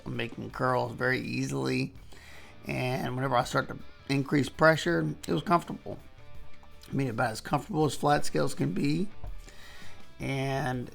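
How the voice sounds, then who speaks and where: A man hoarse from losing his voice, casually talking through a knife review while he demonstrates cuts. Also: mid-range voice. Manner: relaxed, folksy, talks while demonstrating cuts. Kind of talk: casual hands-on product review.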